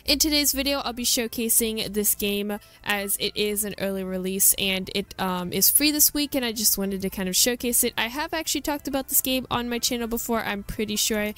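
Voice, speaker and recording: A woman's voice: a little high, brisk, hardly any pauses, fairly bright tone. A woman hosting a gaming video, giving casual, chatty commentary as she shows things off. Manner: chatty and informal. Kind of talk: casual game showcase commentary.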